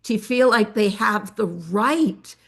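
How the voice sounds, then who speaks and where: An older woman researcher giving an academic presentation of study findings, measured and pausing often with fillers. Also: mid-range voice, fairly bright tone, slightly rough. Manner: measured, with frequent filler pauses.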